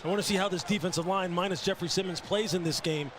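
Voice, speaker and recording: A man's voice: sits high, fast. A man giving emphatic, conversational live colour commentary on a football game. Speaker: television football analyst. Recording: game broadcast with clear background noise. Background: stadium game noise.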